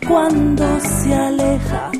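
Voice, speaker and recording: A woman's voice: high voice, wildly swooping pitch, slow, over loud music. A woman singing the theme song of a radio drama with musical accompaniment. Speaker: singer.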